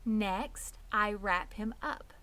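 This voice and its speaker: A woman's voice: mid-range voice, extremely slow, bright, forceful tone. A woman voicing a poised, pleasant and proud female spider in a children's storybook, composed, precise and matter-of-fact. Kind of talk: children's storybook read aloud.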